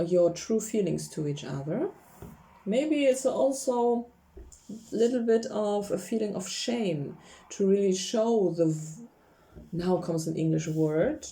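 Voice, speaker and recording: Woman, mellow voice, chatty tarot reading, laughs a lot. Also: medium pitch. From Poland. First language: Polish. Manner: chatty, laughs often, gropes for English words. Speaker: tarot reader. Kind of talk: casual tarot reading to camera.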